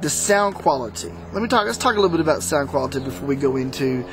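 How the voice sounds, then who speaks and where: A man giving a casual, unscripted product review to viewers in a halting, rambling, conversational way. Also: a little high, lively intonation, noisy background. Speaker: product reviewer, host of a motorsport video channel.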